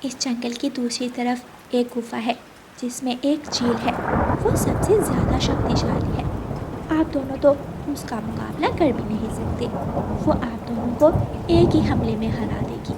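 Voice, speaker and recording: A woman's voice: on the high side, unhurried, heavy background noise. A woman voicing a brave, clever mother sparrow who speaks earnestly in a cartoon story. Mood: cleverly defiant.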